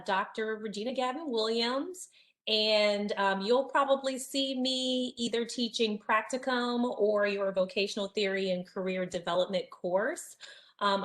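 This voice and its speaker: A woman counseling professor giving a short, soft-spoken welcome to new students over a video call. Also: medium pitch. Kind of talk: brief welcome speech.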